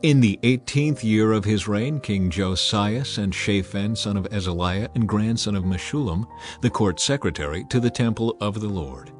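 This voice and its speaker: A man's voice: lowish voice, moderate pace. Solemn man reading scripture. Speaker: narrator. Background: soft music.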